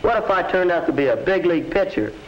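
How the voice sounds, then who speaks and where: A man's voice: sits high, lively intonation, quick pace. A young male actor playing a casual, skeptical American teenage son in a 1950s educational film. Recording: muffled, hissy 1950s film soundtrack.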